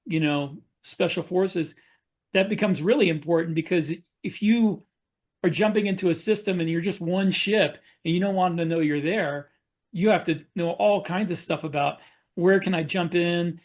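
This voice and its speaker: A man's voice: sits high, normal speed. A man talking casually as an author on a podcast panel, explaining things and jumping in readily.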